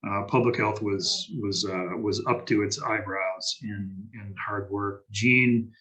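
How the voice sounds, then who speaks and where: Low-voiced man moderating, bright tone. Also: fairly even intonation, low-quality recording. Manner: halting, with many restarts and fillers. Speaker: health officer and moderator. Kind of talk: panel moderation.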